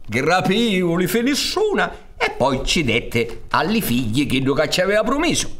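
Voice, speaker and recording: Man in his sixties, Sicilian accent, dramatic storyteller, slow pace, hugely expressive intonation. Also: high voice. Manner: dramatic, gesturing, with rhetorical questions. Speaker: storyteller and director. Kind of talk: theatrical folk-tale storytelling. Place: theatre stage. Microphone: studio mic on a stand.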